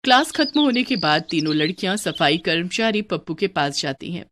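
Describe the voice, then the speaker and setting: A woman's voice: lowish voice, big pitch swings, fairly quick, background noise. A woman narrating a scripted children's story.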